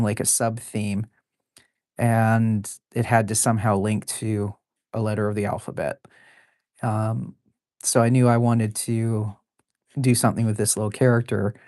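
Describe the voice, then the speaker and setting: A woman in her forties with a low, deep voice, chatting casually while drawing live. She rambles, laughs often, and fills pauses with plenty of ums and uhs. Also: dark tone, no room sound, clean studio sound. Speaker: artist drawing live on stream.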